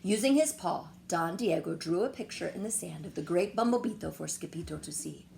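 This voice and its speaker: A woman reads a children's story with a widely swinging, lively pitch and a touch of gravel in her voice. She is expressive and dramatic, with faint noise behind her in a homemade recording. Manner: expressive, dramatic pauses and whispers. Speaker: storyteller reading a picture book aloud. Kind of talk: animated children's story reading.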